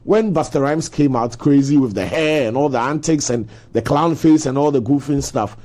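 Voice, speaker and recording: A man's voice: mid-range voice, normal speed, darkish tone. A man with a Ghanaian accent speaking as a panelist in an opinionated discussion, explaining his points emphatically. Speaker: panelist on a culture talk show.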